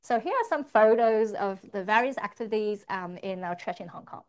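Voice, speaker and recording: A woman's voice: mid-range voice, animated, a little fast, a little mellow. Woman interpreting a church talk. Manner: renders his words line by line in the first person. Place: church service.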